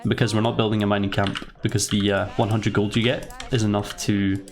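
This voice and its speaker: A man's voice: lowish voice, little pitch movement, a little fast, crowd noise. A man with a stuffy, cold-affected voice gives casual step-by-step commentary as the host of a strategy game tutorial. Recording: noisy mic with keyboard clicks. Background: keyboard typing and mouse clicks.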